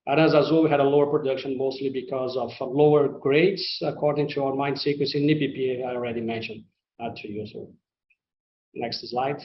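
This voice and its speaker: Man, non-native English, company executive, earnings presentation, hesitant phrasing, even intonation, muffled conference audio. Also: medium pitch. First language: not English. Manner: halting, hesitant delivery with repeated words. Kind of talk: semi-scripted earnings call presentation to investors. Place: conference call line in a roomy space. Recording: roomy, muffled conference-call audio with faint noise.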